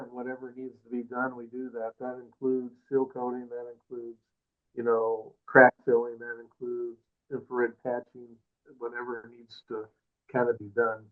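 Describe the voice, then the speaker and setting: A man with an Upper Midwest American accent talks through school facilities budgets in a modest, rambling, down-to-earth way. His voice comes through thin and tinny, as if over a phone line, and he sits at a middle pitch. He is speaking alone in his office. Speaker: co-host, school facilities manager. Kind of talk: casual webinar talk.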